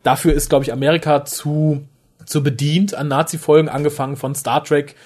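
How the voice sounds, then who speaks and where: A man co-hosting a casual podcast chat, talking eagerly with many restarts and quick laughs. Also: on the high side, level tone, a little mellow. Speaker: podcast co-host.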